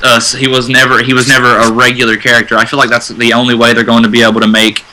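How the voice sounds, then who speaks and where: A man's voice: mid-range voice, completely flat delivery, fast talker, sharp tone, low-quality recording. A man talking casually in a fan discussion, feeling his way and circling back over his phrases. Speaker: radio show panelist.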